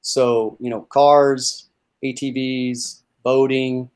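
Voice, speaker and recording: A young man from North Carolina, a strength and conditioning coach answering interview questions in a relaxed way, with plenty of fillers and repeats. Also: medium pitch, extremely slow, clear, resonant tone.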